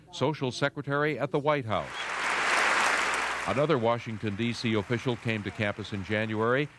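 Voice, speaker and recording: A man's voice: mid-range voice, fairly bright tone. A man with an American accent reading scripted newsreel narration. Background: music.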